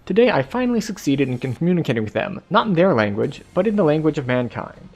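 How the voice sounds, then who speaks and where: A man's voice: on the high side, very animated, fast talker, gravelly, a little mellow, full character voice. A man reading aloud, in his own voice, the diary entry of a game character, an earnest and hopeful woman.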